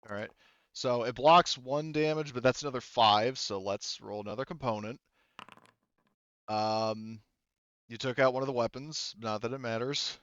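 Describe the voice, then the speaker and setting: A man running a tabletop game casually as game master, thinking aloud haltingly as he works through rules and rolls. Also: mid-range voice, fairly bright tone, smooth, no echo, highs cut off. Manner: halting, thinking aloud while rolling dice.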